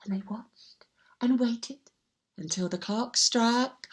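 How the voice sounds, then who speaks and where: A woman telling a children's story in an animated, dramatic manner, with repeated sound words and hushed asides. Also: on the high side, slow pace.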